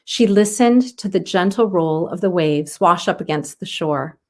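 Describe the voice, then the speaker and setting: A woman reading a children's story aloud as narrator, in an expressive style. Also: fairly low pitch, unhurried.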